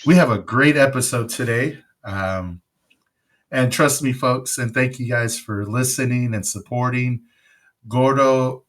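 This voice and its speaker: A man's voice: medium pitch, a bit bright. A man hosting a casual podcast, recovering from pneumonia, rambling and joking with plenty of fillers. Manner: rambling, jokey, many fillers. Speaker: podcast host.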